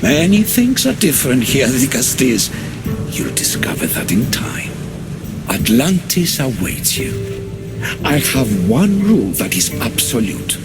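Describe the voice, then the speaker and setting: A man's voice: mid-range voice, very animated, a little slow. An actor playing a proud, commanding god-king in a video game, speaking in a formal, authoritative manner. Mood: stately, assured. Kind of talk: dramatic video game acting. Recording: loud music behind the voice.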